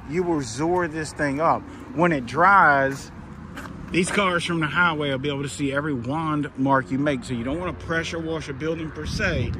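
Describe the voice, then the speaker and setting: A man's voice: on the high side, fairly bright tone. A middle-aged man running a pressure washing business, giving a casual, plainspoken how-to walkthrough outdoors at a job site. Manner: plainspoken, folksy, instructive. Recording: faint outdoor background noise.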